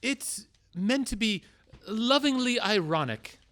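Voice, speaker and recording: A man's voice: high voice, animated, slow pace, a bit bright, heavily acted. A man playing an earnest, theatrical, easily wounded outlaw leader in a comic radio play. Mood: meek, hurt. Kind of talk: radio-play comedy acting.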